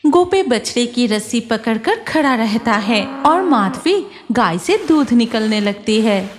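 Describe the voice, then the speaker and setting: Slow, high-voiced woman storyteller. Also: sing-song, slight background noise. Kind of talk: scripted horror story narration. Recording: music behind the voice.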